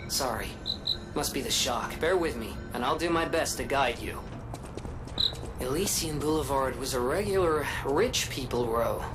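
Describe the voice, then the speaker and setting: A man voicing a friendly, chatty mechanical cricket guide puppet in a video game, informal and jokey. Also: on the high side. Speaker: guide companion. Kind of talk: video game character acting.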